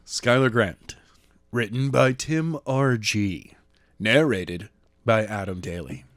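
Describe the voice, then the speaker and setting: A man narrating a novel aloud in a plain, measured reading voice. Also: on the low side, fairly bright tone, no echo, clean studio sound.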